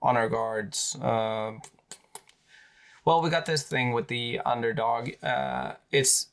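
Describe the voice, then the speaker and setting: A man with a Swedish accent, a native Swedish speaker, talking casually through tabletop army lists with many pauses and fillers. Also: mid-range voice, fairly bright tone. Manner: hesitant, many fillers and restarts. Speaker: tabletop wargaming creator.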